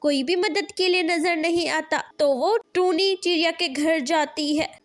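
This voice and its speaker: A woman with a high-pitched voice narrates a children's cartoon. She reads the scripted tale as a storyteller. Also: a little slow.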